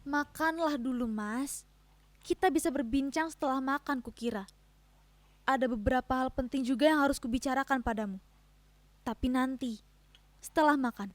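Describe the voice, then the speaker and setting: An actress playing a patient, dutiful wife in a dramatic reading, gentle and measured with a quiet firmness. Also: female voice, high voice, natural voice. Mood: quietly firm. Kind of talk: dramatic reading of a stage play.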